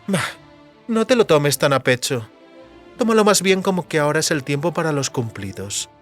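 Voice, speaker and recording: Imperious sorceress lover, teasing and lecturing in fancy words, music underneath. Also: female voice, sits low, lively intonation, normal speed. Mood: coquettish, haughty. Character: haughty, teasing sorceress lover, woman, demanding compliments. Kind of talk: audiobook drama acting. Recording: music behind the voice.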